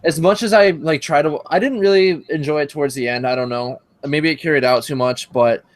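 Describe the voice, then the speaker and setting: A man's voice: on the high side, fairly quick, no echo. A young man guest in a casual group chat, rambling nostalgically and restarting his sentences.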